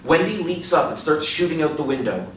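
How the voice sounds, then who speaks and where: A man's voice: on the high side, expressive, brisk. A man in his thirties reading scripted stage directions as narrator of a play reading. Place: small indoor room, seated. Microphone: distant camera. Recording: echoey room, distant mic, faint noise.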